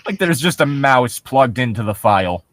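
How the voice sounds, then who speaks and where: A man's voice: mid-range voice, lively intonation, normal speed, a bit bright, no echo, clean studio sound. A man giving casual comedic commentary and reading passages aloud, sounding sarcastic and incredulous and swearing casually. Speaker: co-host reading and riffing on internet horror stories.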